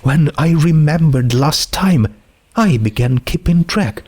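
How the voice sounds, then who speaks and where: A man voicing a troubled, anxious teenage girl with halting, emotional delivery in a dramatic story reading. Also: a little high, animated, a little mellow, voice pushed up. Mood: urgent, distressed. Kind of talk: dramatic fan fiction reading.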